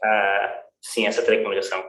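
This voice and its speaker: A man joining by video call, speaking haltingly and searching for words. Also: fairly low pitch, level tone, a bit bright. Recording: echoey video call audio.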